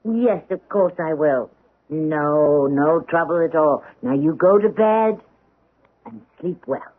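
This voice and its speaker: A woman's voice: sits low, animated, takes it slowly, narrow, tinny audio, heavily acted. A woman playing an anguished, devoted middle-aged stage actress in a theatrical, intense 1970s radio drama style. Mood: gently solicitous.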